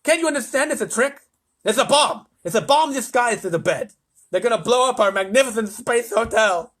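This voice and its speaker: Storybook role: man as hot-headed, self-important President. Raspy, animated, impatient bark. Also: brisk, heavily acted. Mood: furious. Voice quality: deep rasping. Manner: pompous, barking, impatient. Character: blustering, hot-tempered male President of the United States.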